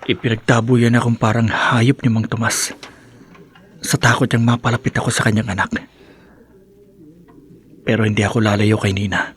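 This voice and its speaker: An earnest young Filipino lover in a horror radio drama. His voice is low and very husky, brooding and devoted. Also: level tone, moderate pace, long pauses. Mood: brooding, determined. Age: young adult. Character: earnest young city man, devoted and determined in love.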